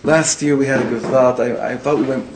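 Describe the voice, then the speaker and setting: A rabbi teaching a casual class to live students, animated and informal, dropping Hebrew and Yiddish words into his talk. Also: mid-range voice, fairly quick, background noise. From Israel. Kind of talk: casual spoken Torah class.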